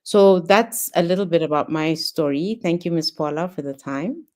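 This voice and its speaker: Low-voiced reflective woman from Ethiopia. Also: darkish tone. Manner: thoughtful, pausing with ums and restarts. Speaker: panel guest. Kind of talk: reflective personal story.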